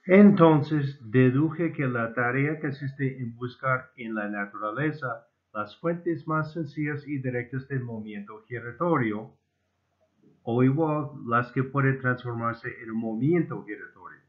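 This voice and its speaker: A man who speaks as a second-language speaker, reading a formal scripted lecture in a deliberate, slightly stumbling way. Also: medium pitch. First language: not Spanish (Spanish is his second language). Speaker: amateur inventor presenting his own proposal. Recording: muffled, phone-like narrow band.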